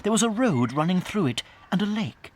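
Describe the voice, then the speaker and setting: A man narrating a children's story in a measured, dramatic storytelling manner. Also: sits high, sing-song, a little hiss or hum. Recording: studio narration over music.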